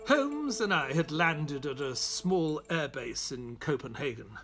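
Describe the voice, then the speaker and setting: An actor playing an earnest, polite English doctor and loyal sidekick in an audio drama, who narrates and gets easily flustered. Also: male voice, fairly high pitch, expressive, unhurried, a bit bright, soft background music. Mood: measured storytelling.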